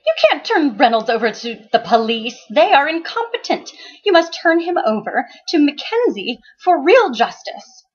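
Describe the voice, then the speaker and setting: A woman voicing a fiery, defiant madam in a story reading, shrill, commanding and dramatic. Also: very animated, moderate pace, dull audio. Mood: shrill outrage.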